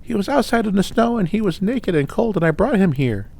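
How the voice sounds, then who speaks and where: Man putting on an eager, high-pitched little girl. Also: lively intonation, fairly quick, vocal fry, mellow, heavily acted. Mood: excited, pleading. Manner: eager, questioning. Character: small, fearless, curious, excitable young mountain girl. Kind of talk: acted dialogue in a fantasy audio story.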